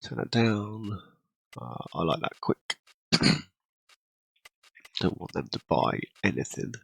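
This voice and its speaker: Low gravelly congested man. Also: big pitch swings, takes it slowly, a little hiss or hum. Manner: rambling, self-deprecating, frequent throat clearing. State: congested from a cold, coughing. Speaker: gaming channel host. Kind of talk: casual gameplay commentary.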